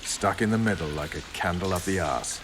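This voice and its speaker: An actor playing a gruff, cynical military commander in a video game, blunt and sardonic. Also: male voice, low-pitched, a little fast, background noise, lightly acted. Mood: grim, wry. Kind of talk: video game cutscene acting. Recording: faint rain and ambience behind.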